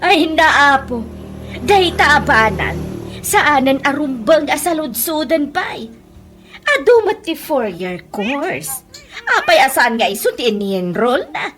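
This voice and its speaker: A woman's voice: high-pitched, very animated, fairly bright tone, faint music behind, full character voice. A woman voicing a scornful, gossipy middle-aged mother in a comedy radio drama, with an Ilocano Filipino accent. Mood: mocking. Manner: sneering, drawn-out, exclamatory.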